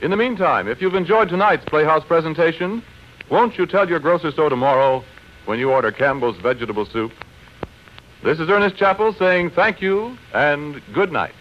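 Vintage radio announcer, American man, highish voice, scripted sponsor read, muffled broadcast. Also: animated, normal speed. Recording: dull, faintly noisy vintage radio broadcast.

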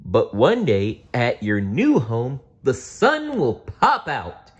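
A man's voice: wildly swooping pitch, slightly rough, exaggerated voice. A man putting on a giggly purple dinosaur. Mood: cheerful. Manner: high, sing-song, slow, giggly. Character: cheerful big purple dinosaur, male, gentle, giggly. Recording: dull lo-fi recording.

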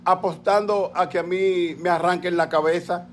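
A man's voice: sits high, fairly even intonation, normal speed, a little hiss or hum. A man in his sixties with a Dominican Spanish accent, delivering a fiery political rant, hammering his points by repeating words, filmed on a phone at arm's length in a small room at home. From Dominican Republic. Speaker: political commentator. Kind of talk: impassioned political rant on a live call.

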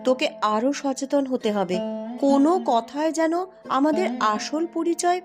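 A woman's voice: sits high, expressive, normal speed, soft background music. A woman voicing a responsible young elder sister, an exiled princess in a cartoon, earnest and admonishing. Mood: anxious reproach. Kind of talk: cartoon story dialogue.

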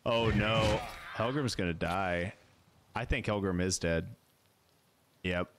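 A man's voice: on the low side, slow, noisy background. A man in his thirties talking casually as he streams a co-op game, close to a microphone in a small home room. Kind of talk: casual co-op gameplay chat. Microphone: close microphone on boom arm, headset.

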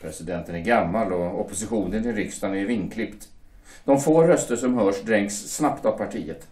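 A dutiful, worried shipyard foreman, played by a man in an audio drama. He speaks hesitantly, with a low voice. The room echoes noticeably. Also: a little mellow, lightly acted. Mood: grave. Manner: hesitant, defensive. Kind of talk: amateur audio drama acting.

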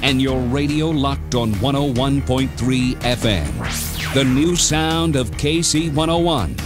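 A man's voice: mid-range voice, unhurried. A man reading scripted promos as the announcer of a Top 40 radio station. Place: radio studio. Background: loud music bed.

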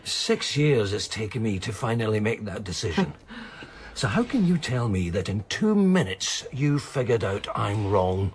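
Bitter broken man, low voice, slow expressive delivery, radio drama. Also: a little hiss or hum. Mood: bitter despair. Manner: sardonic, defeated. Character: bitter, despairing man rescued from suicide.

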